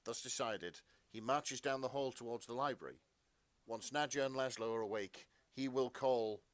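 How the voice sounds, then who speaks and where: A man's voice: mid-range voice, normal speed, no echo. A man narrating fan fiction as a podcast co-host, in a melodramatic storytelling style.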